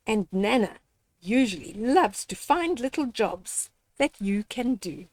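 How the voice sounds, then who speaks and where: An older woman reading a picture book aloud to young children and explaining its lessons in a gently instructive way, speaking to them directly. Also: on the high side, expressive, slow. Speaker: storyteller reading children's books. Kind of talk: read-aloud picture book with moral commentary.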